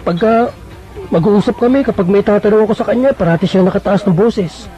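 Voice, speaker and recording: A man's voice: high-pitched, a little fast, warm tone, close to an everyday voice. A man acting a lovelorn, long-suffering husband in a Filipino radio drama, plaintive and hesitant in delivery. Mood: hurt, frustrated. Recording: dull lo-fi radio recording with music.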